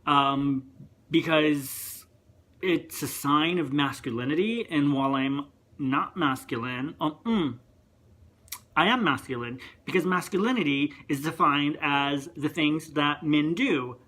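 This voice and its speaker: A young man with a higher voice that gets mistaken for a woman's, rambling through a casual rant and interrupting himself. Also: measured pace, pushed and bright. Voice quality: higher voice, sometimes heard as female. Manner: rambling, chatty, self-interrupting. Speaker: vlogger.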